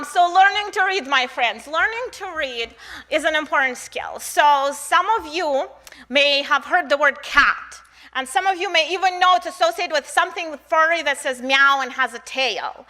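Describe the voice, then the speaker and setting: A woman's voice: high-pitched, bright, forceful tone. A woman with a Russian accent giving a conference lecture, speaking conversationally to her listeners as a researcher. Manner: conversational, uses rhetorical questions and tag "right?".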